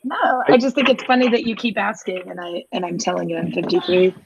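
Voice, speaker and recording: A woman's voice: on the low side, steady tone, a little fast, creaky, breathy edge, no echo. A middle-aged woman chatting casually and playfully in an online group. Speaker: community chat participant. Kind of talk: casual chat. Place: online voice chat. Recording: dull noisy lo-fi voice chat, clicks.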